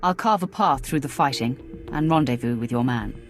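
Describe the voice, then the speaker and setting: Low-voiced, commanding Sith warrior. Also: female voice, lively intonation, moderate pace, soft background music. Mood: brisk, confident. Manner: curt, assured, imperious. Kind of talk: video game cutscene acting.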